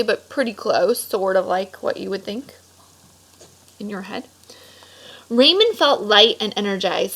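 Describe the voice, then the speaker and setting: A woman reading a children's picture book aloud expressively, pausing for casual explanatory asides to young listeners. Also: on the high side, a bit bright, low-quality recording. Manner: explanatory, stops to clarify words for children. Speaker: read-aloud storyteller.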